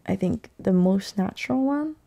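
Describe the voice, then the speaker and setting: A mellow-voiced woman, a singer-songwriter guest, chatting casually in an interview. She talks softly and thoughtfully, and she laughs easily. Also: medium pitch, expressive, moderate pace, gravelly, slight background noise.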